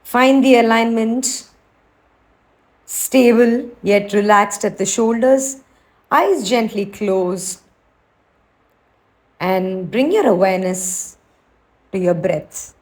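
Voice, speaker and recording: A woman teacher leading a guided meditation, speaking in a soothing, unhurried, gently instructing way. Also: medium pitch.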